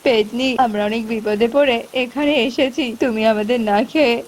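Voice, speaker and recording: A woman's voice: a little high, a little fast, a little mellow, a little hiss or hum, lightly acted. A woman voicing an earnest, protective young male bird in a cartoon. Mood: pleading.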